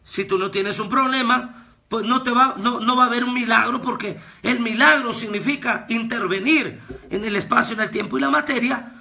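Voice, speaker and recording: Bright, gravelly-voiced preacher man. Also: extremely high pitch, normal speed. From Guatemala. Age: 30s. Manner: fervent preaching with repetitions, rising to shouts. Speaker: pastor and prophet. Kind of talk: recorded devotional sermon.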